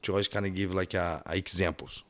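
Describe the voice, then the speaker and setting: A man's voice: low-pitched, steady tone, brisk. A man with a Portuguese accent chatting casually as a podcast guest. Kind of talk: casual chat.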